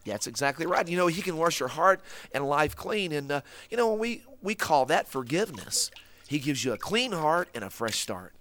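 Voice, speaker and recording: A man's voice: fairly high pitch, a bit bright, slight background noise. A man hosting a kids' ministry skit, stammering and repeating words while he patiently explains things. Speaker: children's ministry host. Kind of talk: scripted comic skit for kids.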